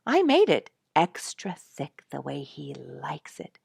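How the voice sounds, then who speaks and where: A woman's voice: deep voice, wildly swooping pitch, takes it slowly, creaky, darkish tone, slightly rough, dry recording, studio quality, full character voice. A woman voicing a wry, playfully long-suffering mother in a storybook, teasing and mock-grumbling with emphasis. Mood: animated recounting.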